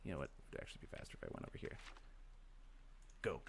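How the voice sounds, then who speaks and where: A man's voice: very fast, slight background noise. A man giving casual video game commentary, rambling and musing aloud as he thinks things through. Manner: rambling, musing, talks to himself. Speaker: let's play host.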